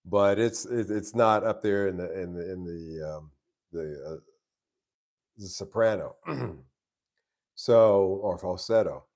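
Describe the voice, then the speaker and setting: Low-voiced man chatting on a live stream. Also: takes it slowly, pauses often, a little mellow. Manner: easygoing, rambling, many restarts. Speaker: live stream host answering chat. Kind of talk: casual live stream chat. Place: live stream from home base abroad.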